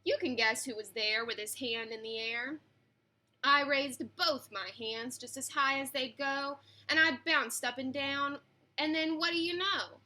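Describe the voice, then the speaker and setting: A woman reads a picture book aloud for children. Her voice is high and bright. She is expressive and slips easily into chatty asides for her young listeners. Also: a little slow. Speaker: read-aloud reader.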